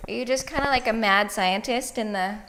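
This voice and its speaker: A woman's voice: fairly high pitch, a little fast, background noise. A woman hosting a cooking segment, upbeat and laughing often as she walks through a recipe. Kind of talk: casual cooking-show chat.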